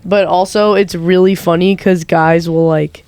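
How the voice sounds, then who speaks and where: A young woman with a deep voice in casual podcast chat, telling stories and laughing mid-sentence. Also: fairly even intonation, unhurried, a little mellow, smooth, dry recording.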